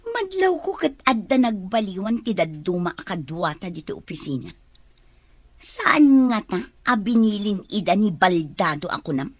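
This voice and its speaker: A woman's voice: mid-range voice, big pitch swings, moderate pace, no room sound. A woman acting in a radio drama as a scornful, watchful female office schemer with an Ilocano accent. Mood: menacing, suspicious.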